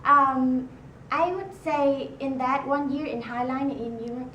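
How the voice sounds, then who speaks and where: Brief: young student, native Vietnamese speaker, high voice, hesitant, roomy distant sound. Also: female voice, steady tone, a little slow, sharp tone, muffled recording, slight background noise. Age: young adult. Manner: hesitant with ums. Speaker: student writing consultant. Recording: echoey room, distant mic.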